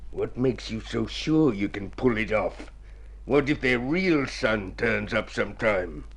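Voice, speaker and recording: Slimy crook in an old radio play, slow gravelly drawl, bullying but cowardly. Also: male voice, fairly low pitch, lively intonation, a bit bright, exaggerated voice. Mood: oily, wary. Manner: oily, sneering, drawn-out. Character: sly, bullying male swindler who turns craven. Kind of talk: radio drama acting.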